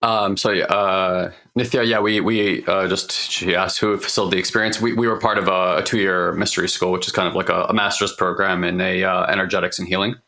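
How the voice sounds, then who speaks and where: Man, middle-aged, hoarse, earnest rambling talk, fairly low voice, bright edge. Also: steady tone, a little fast, hardly any pauses. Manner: rambling, restarts often, earnest. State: voice partly lost, hoarse. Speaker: podcast co-host. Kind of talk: casual spiritual coaching talk.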